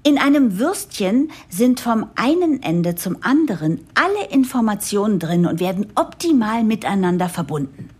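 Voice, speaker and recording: Husky, animated, determined female boss. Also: medium pitch, moderate pace, few pauses. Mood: eager, persuasive. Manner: expressive, explanatory. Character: determined new female managing director of a sleepy utility. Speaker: narrator and lead character. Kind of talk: German audio drama, first-person narration and dialogue.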